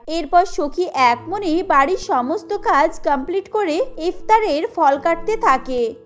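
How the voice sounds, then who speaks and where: High-voiced woman narrating a cartoon. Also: dull audio. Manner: expressive storybook reading. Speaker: narrator and voice actor. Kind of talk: scripted cartoon storytelling. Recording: music bed underneath.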